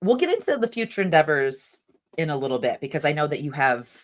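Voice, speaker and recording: Low-voiced, chatty woman host. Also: very animated, fast. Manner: chatty, enthusiastic, uses "like" a lot. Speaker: podcast host. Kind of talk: casual interview chat.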